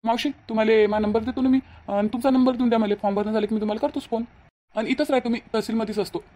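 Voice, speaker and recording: A woman's voice: fairly high pitch, steady tone, racing, mellow, close to an everyday voice. A woman voicing a sly young male swindler in a Marathi cartoon, with a rural Vidarbha accent and pushy, reassuring patter. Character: sly young male con man, persuasive, falsely reassuring. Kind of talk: Marathi cartoon moral-story dialogue.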